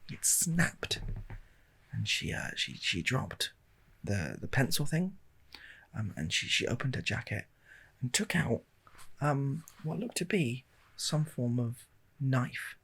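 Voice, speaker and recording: A British man telling a rambling anecdote in a soft, breathy ASMR style, hesitant and wryly understated, close to the microphone. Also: mid-range voice, very animated, takes it slowly, pauses often. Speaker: ASMR video creator. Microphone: handheld stereo recorder, close up.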